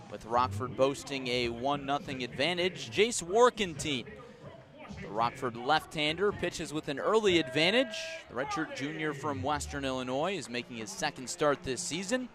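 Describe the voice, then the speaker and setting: A male baseball radio announcer with a somewhat high-pitched voice calls a game from an outdoor ballpark. He describes the scene in careful, measured detail. His delivery is live play-by-play, made punchier for the action. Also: a bit bright. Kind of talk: live sports commentary.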